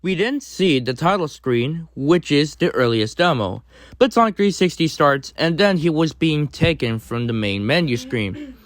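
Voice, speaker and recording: Higher-voiced man explaining in halting phrases. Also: animated. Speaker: video host. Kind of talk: casual commentary, explainer.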